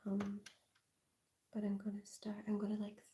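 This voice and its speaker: A woman with a softened Northern Irish accent, whispering in a relaxed ASMR livestream and rambling with plenty of fillers. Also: mid-range voice, slight background noise. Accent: Northern Irish, softened and mixed. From Northern Ireland. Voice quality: soft whisper. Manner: halting, rambling, with many fillers.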